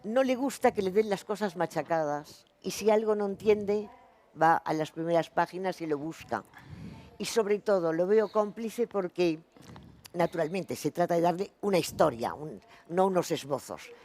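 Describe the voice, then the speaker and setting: An older woman writer reflects on her craft before a live audience. She speaks in a measured, even way on a festival stage. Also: on the low side. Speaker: writer on a panel. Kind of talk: panel discussion.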